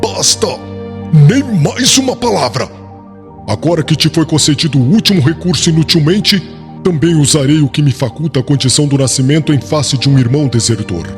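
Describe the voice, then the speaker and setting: Arrogant, enraged young male zealot for an audiobook drama, acted by a man: scornful, emphatic, higher-pitched, in long hall reverb. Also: expressive, fairly quick, lightly acted. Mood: furious. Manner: haughty, scornful, shouting, emphatic. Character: furious, arrogant young male Pharisee persecutor. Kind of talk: dramatic audiobook character acting. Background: faint music.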